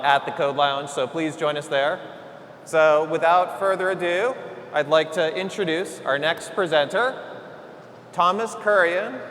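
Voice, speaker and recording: A man's voice: a little high, a bit bright, slight background noise. A man hosting a conference stage, introducing speakers in an upbeat way. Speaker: event host. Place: large conference hall. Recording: hall reverb with music behind.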